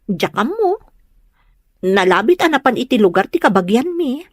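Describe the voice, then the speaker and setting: A woman playing an earnest, tender young bride-to-be who pleads gently in an Ilocano comedy drama. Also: on the high side, big pitch swings, moderate pace, dry recording, studio quality. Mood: grateful, wistful.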